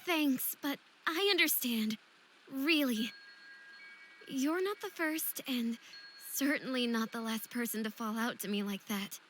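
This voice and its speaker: A woman's voice: a little high, measured pace, fairly bright tone, rough recording. An actress playing a young fairy woman in a visual novel game, proud and sensitive with a playful streak, speaking very expressively. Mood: downcast. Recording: background noise and ambience.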